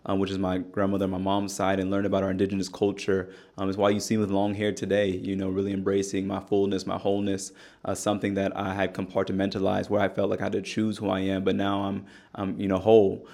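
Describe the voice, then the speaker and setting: A young man from the Bay Area speaks as an interview guest in a very deep voice. His delivery is flat and even, earnest and steady, and he strings his thoughts into long, flowing sentences. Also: fast, vocal fry. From California Bay Area. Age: young adult. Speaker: political candidate and organizer.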